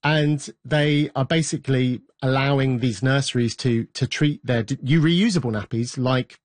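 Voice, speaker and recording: A man's voice: medium pitch, moderate pace, no echo. A man working as a radio reporter, chatty and lightly humorous in reports and studio discussion.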